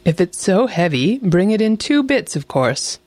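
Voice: medium pitch, sing-song, measured pace, a little mellow, dry recording, voice pushed up. A storybook narrator voicing a greedy, suspicious male wolf who gives bossy, threatening commands. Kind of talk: animal fairy tale storytelling.

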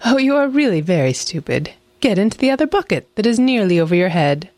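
Narrator doing a sly lady fox from a fairy tale, way higher voice, sweet talking and teasing. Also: sing-song, fast, darkish tone, no echo. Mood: mocking. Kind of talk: animal fairy tale storytelling.

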